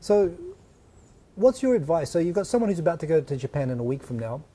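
A middle-aged man hosting a casual studio interview, lively and joking, on a lapel mic. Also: on the high side, husky. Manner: lively, joking, quick asides. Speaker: host. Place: small studio set, seated on a sofa.

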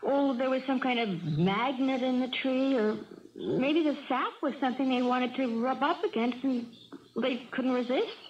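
A woman's voice: sits high, moderate pace, a little mellow, lightly acted. An actress playing a sweet, puzzled young country woman in a radio drama, artless and folksy. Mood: wondering. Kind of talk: 1970s radio drama acting. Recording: dull, muffled old radio recording.

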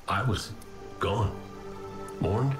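An actor playing a world-weary warrior back from the dead in a sci-fi game cutscene, speaking in a halting, rueful, reflective way. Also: male voice, lowish voice, lively intonation. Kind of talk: dramatic video game cutscene acting. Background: cinematic music.

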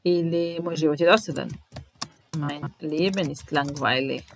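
A woman in her thirties with a Serbian accent, teaching German slowly, repeating each phrase while typing, in a small room at home. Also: low-pitched, a little hiss or hum. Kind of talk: slow, deliberate language lesson.

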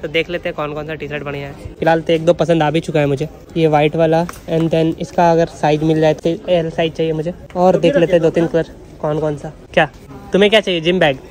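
A man's voice: on the high side, flat delivery, a little fast, a little mellow. A young man in his twenties hosting a casual vlog, chatting and joking with viewers while holding the camera at arm's length outdoors. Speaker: vlogger host. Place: outdoors at a pool resort and in a shop. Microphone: handheld camera at arm's length. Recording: crowd noise outdoors.

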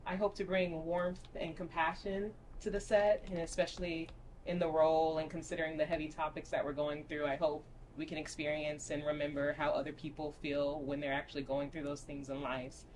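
A woman's voice: low voice, level tone, a little fast, a little mellow. A woman, an actress, introducing herself and her hopes to a group gathered in a room. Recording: noisy room with ambience.

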